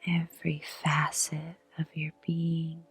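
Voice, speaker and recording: Whispering, very breathy low-voiced woman. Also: monotone, soft background music. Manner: soft whispered delivery; slow, soothing pacing with long pauses. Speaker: meditation guide. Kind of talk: guided meditation.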